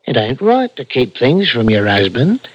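A man acting a surly, defensive working-class husband in vintage radio suspense, gruff and rough in his speech. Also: medium pitch, big pitch swings, unhurried, dry recording. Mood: irritable. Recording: dry, dull old radio broadcast.

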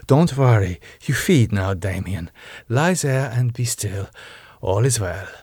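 A man's voice: fairly low pitch, animated, takes it slowly, a little mellow, breathy edge, no echo, heavily acted. A man voicing a commanding female vampire, soothing, stern and formal in an audiobook story. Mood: soothing, firm. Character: commanding foreign female vampire, calmly maternal yet menacing.

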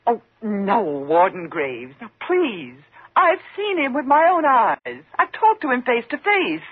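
An actress playing an agitated, pleading, frightened woman in a 1950s radio thriller, speaking haltingly. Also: fairly high pitch, wildly swooping pitch, husky, no echo, heavily acted. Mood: desperate, insistent. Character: frightened, insistent woman haunted by a convict. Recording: muffled, lo-fi 1950s radio recording.

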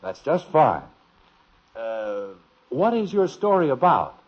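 Genial radio-sitcom father, husky, very lively pitch, old lo-fi broadcast. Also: slow pace. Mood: playful. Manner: genial, warmly amused. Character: good-natured middle-aged father, curious and teasing. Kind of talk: 1950s radio sitcom acting.

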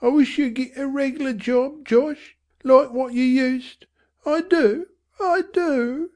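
A man's voice: very high voice, steady tone, unhurried, vocal fry, a little mellow, voice pushed up, full character voice. A man voicing an anxious working-class London mother, whimpering and pleading.

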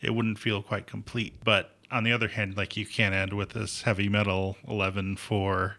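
A man interviewing a musician in a relaxed, chatty way. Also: lowish voice, moderate pace. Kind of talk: casual interview.

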